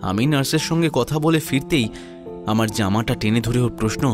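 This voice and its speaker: A man narrating a scripted first-person audio story in a measured, dramatic storytelling manner. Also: medium pitch, over music.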